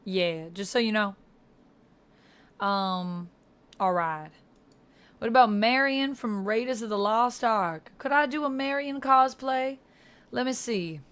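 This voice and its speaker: A woman's voice: fairly high pitch, takes it slowly, long pauses, fairly bright tone, smooth. Woman livestream host, Georgia-style Southern accent, casual and chatty, talking directly to viewers by name. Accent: Southern US, Georgia, put on.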